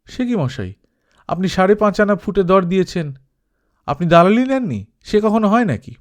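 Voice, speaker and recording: A man's voice: high voice, big pitch swings, moderate pace, pitched above the performer's own voice. A man voicing an indignant, unyielding company office man in a story, arguing with disbelief. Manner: incredulous, argumentative.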